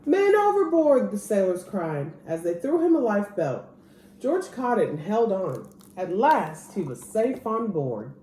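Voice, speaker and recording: A woman reading a children's picture book aloud as an expressive storyteller. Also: mid-range voice, measured pace. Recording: roomy echo, clear background noise.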